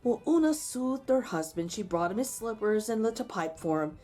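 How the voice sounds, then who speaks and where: Female storyteller, animated and dramatic, reading to young children over soft music. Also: medium pitch, normal speed. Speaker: storyteller reading a picture book aloud. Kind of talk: expressive read-aloud for children with chatty asides.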